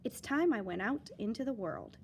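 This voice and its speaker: A woman's voice: a little high, lively intonation, normal speed, darkish tone, slight background noise, lightly acted. A woman voicing a big, strong, brave male railroad worker in a storybook, in a plain confident way. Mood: determined.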